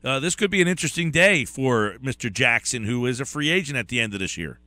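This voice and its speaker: A man's voice: medium pitch, animated, fast, pushed and bright, dry recording. A man hosting a sports talk radio show, asking the guest questions in a conversational way.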